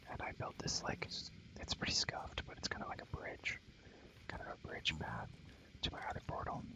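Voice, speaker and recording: A young man whispering casual, rambling ASMR gameplay commentary, full of slang and repeated phrases, in a breathy, unvoiced voice. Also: extremely high pitch, pushed and bright, poor audio. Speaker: ASMR gaming video creator.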